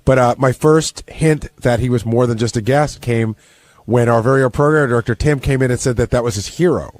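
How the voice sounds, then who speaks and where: Radio commentator, male, middle register, quick delivery, chatty storyteller, dry studio sound. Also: mid-range voice, darkish tone. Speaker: radio show staffer and commentator. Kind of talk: casual radio recap chat.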